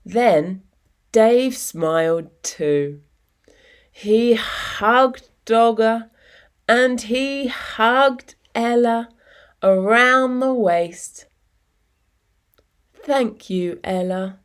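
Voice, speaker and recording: Slow, higher-voiced woman reading a children's story. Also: clean tone. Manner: deliberate, measured phrasing with dramatic pauses.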